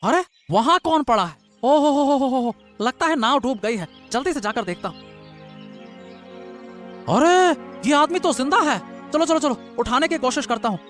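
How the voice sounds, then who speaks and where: Man acting a high-voiced excitable fisherman. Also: normal speed, faint music behind. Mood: alarmed. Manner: excitable, repeats words. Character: excitable, chatty humble male fisherman, deferential to royalty. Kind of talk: Hindi moral-story audio drama acting.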